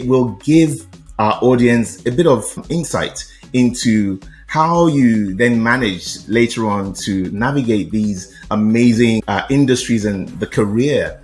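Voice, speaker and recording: A man's voice: medium pitch, darkish tone. Polite, enthusiastic male podcast host. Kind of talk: podcast interview introduction.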